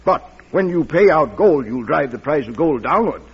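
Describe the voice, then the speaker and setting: An actor playing a self-important, ingratiating businessman in an old-time radio drama, with a husky voice. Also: on the high side, animated, normal speed, full character voice. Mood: ingratiating, probing. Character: meddling, self-important male businessman, eager brother-in-law. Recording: muffled vintage radio recording.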